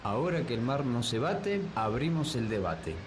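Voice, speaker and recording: A man's voice: mid-range voice, sing-song, moderate pace, background noise. A man with an Argentine Spanish accent hosting a casual podcast debate, explaining informally in a rambling, self-correcting way. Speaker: podcast host. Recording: echoey, reverberant room.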